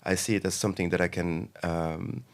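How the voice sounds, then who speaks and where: Very low-voiced man talking business. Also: steady tone, a little fast, creaky. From Morocco. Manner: thoughtful, with many fillers and restarts. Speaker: investment advisor, podcast guest. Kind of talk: casual interview talk.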